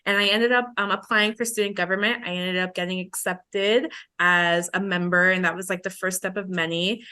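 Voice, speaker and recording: A young woman in her twenties with a bright, forward voice. She co-hosts a podcast and speaks casually, like telling friends a personal story, slipping into remembered dialogue as she goes. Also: fairly low pitch, steady tone, normal speed, clear, resonant tone. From Belize. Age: 20s. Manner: chatty, informal, acts out remembered dialogue. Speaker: podcast co-host.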